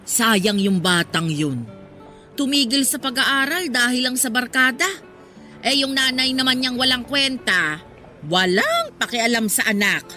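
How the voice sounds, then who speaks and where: A middle-aged Filipino barbecue seller in a radio drama. She is preachy and gossipy, with a fairly high, forceful voice that scolds and teases. Music plays underneath. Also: sing-song, measured pace, heavily acted. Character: nosy, chatty middle-aged woman barbecue vendor, preachy and teasing. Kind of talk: Tagalog radio drama acting.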